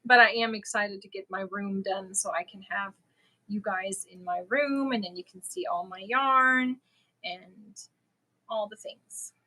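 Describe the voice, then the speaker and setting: Lady doing a casual livestream chat, bright forward voice, kinda nervous and giggly. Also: medium pitch, a little slow, low-quality recording. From Germany. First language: German. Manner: chatty, giggly, slightly nervous. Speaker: crochet live stream host. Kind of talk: casual live stream chat.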